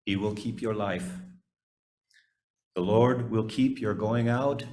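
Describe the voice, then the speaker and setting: A man's voice: on the low side, slow pace, a little mellow. A man reading Bible passages aloud as a scripture reader in a church service.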